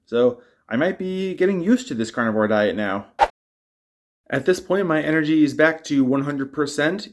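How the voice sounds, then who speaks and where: A young man narrating his own diet vlog in a casual, candid way, describing how he feels day to day. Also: mid-range voice. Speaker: fitness vlogger.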